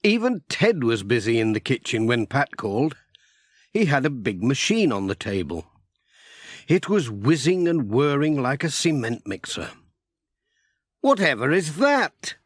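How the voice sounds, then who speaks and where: Lively British male storyteller, close and dry. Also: medium pitch, moderate pace, no room sound. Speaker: audiobook narrator. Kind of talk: scripted children's story narration.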